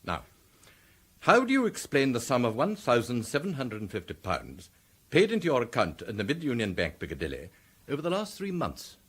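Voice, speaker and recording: A stern, husky police chief. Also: male voice, mid-range voice, wildly swooping pitch, brisk, fairly bright tone, heavily acted. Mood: interrogating. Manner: cold, clipped and imperious. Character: stern senior male police chief, haughty. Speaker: police commissioner figure. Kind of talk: radio drama acting.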